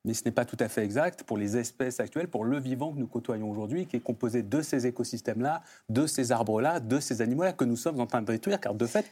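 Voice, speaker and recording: A man's voice: medium pitch, fast, hardly any pauses, dark tone, slightly rough. A man debating off the cuff, earnest and emphatic, building his argument by repeating phrases. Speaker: panel debater. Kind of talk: unscripted intellectual debate.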